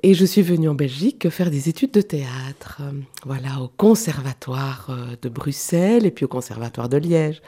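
A woman from Switzerland, a clown and actress, speaking as a guest in a radio studio interview, thoughtful and halting with many fillers. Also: a bass voice, expressive, mellow, breathy edge.

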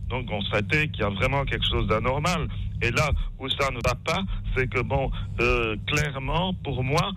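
A man calling in to a radio show, rambling and halting as he talks. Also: medium pitch, bright, forceful tone. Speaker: caller. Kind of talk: phone-in call.

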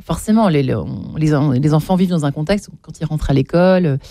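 Mellow-voiced interviewer, halting delivery. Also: medium pitch, gravelly, no room sound, clean studio sound. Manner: hesitant, with many fillers and restarts.

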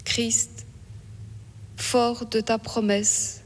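Slow, even-toned woman in a ringing hall. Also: a little high. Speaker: prayer reader. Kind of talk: solemn scripture reading and prayer. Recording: long echoing hall reverb.

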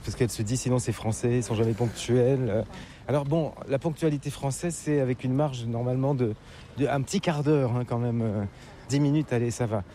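A man's voice: medium pitch, a little fast, dark tone. A man with an accent from France, chatting as an on-location reporter and asking questions. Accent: French from France. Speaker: interviewer, travel reporter. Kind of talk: conversational on-location interview. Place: outdoors on a city street. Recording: noisy outdoor street with traffic.

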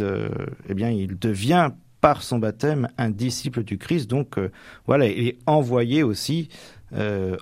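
A young man from Normandy, a deacon about to become a priest, answering radio interview questions with many pauses and restarts. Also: lowish voice, darkish tone, dry recording, clean studio sound. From Normandy, France. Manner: hesitant, many fillers and restarts. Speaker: deacon soon ordained priest.

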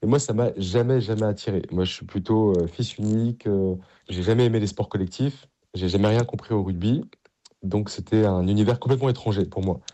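A man's voice: on the low side, fairly quick, dark tone. A middle-aged man, a priest answering interview questions in an earnest, enthusiastic, conversational way. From Paris, France.

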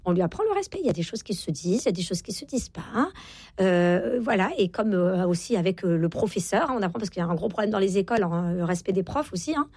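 A woman's voice: mid-range voice, expressive, quick pace, darkish tone, husky, no echo, clean studio sound. A woman in her forties speaking as an interview guest, explaining and giving parenting advice emphatically, repeating key phrases to insist. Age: 40s. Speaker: guest parenting expert and author. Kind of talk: interview talk, explaining and advising.

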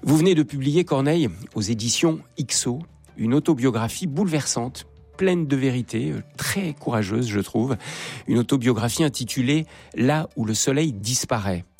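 Male interviewer; husky, polite, measured delivery. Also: mid-range voice, expressive, a little mellow, no room sound, soft background music. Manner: measured, polite, prompts with short acknowledgments. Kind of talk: formal interview questions.

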